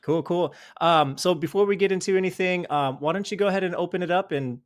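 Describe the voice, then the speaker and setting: A man's voice: high-pitched, fast. A man hosting a casual interview, upbeat and encouraging, with some "um" fillers. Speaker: podcast host.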